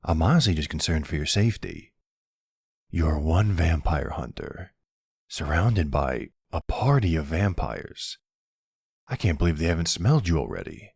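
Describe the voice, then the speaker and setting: A man's voice: very animated, normal speed, a little mellow, no echo, exaggerated voice. A man playing a smug, flirty, teasing vampire in an intimate ASMR roleplay, with a playful, taunting drawl close to the microphone. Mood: smug warning. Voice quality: deep, gravelly, husky. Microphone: close studio-style microphone, dry.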